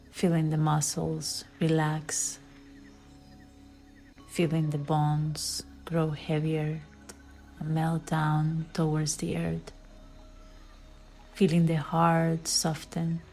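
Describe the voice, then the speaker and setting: A woman leading a guided meditation in a soft, soothing way, with phrase endings that fall into breathy near-whispers. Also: sits low. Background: background music.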